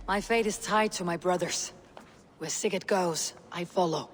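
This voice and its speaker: An actress playing a loyal, guarded Viking warrior, plain-spoken in dramatic game dialogue. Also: female voice, fairly low pitch, normal speed, natural voice. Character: loyal, steady Viking warrior of the Raven clan. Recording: faint ambient background noise.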